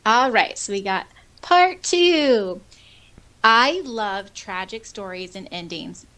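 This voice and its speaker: A woman with a mid-pitched voice co-hosts a podcast. Her delivery is expressive and bubbly, moving between casual chat and reading out comments from listeners. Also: slow pace. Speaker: podcast co-host.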